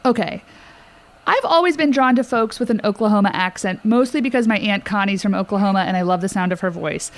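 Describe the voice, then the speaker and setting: A woman's voice: medium pitch, a little fast. A woman hosting a podcast interview, asking questions in a friendly, lightly joking way. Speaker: podcast host. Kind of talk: casual interview.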